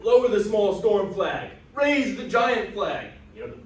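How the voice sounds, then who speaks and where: An amateur performer playing an excitable, sarcastic American fort commander in a comic readers theater piece. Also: medium pitch, unhurried. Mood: commanding. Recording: very echoey room, distant mic, faint noise.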